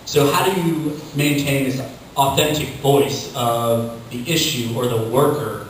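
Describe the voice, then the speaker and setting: A man's voice: mid-range voice, steady tone, unhurried. A man speaking informally as a panelist, explaining his work at a conference. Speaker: panelist, digital communications staffer. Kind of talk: panel discussion answer. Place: hotel conference ballroom, recorded from the audience. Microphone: distant camera picking up the room sound. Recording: distant, very echoey room recording, faint noise.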